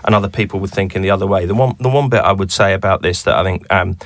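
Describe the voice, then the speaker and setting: A man's voice: sits low, rapid-fire, dry recording. A man guesting on a business podcast, speaking with many restarts and repeated words. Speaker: guest, bank sales director. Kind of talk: podcast interview.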